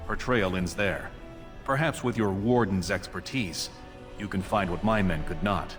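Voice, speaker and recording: An actor playing a scheming, courtly dwarf prince in a video game, speaking formally and persuasively in measured phrases. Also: male voice, sits low, over music. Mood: smooth, commanding. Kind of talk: video game dialogue acting.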